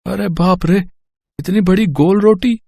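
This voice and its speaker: Man acting a high-pitched, naive village youth. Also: unhurried, creaky, darkish tone, dry recording, exaggerated voice. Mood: amazed. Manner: simple, wide-eyed, hesitant. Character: naive, earnest young village man, eager student.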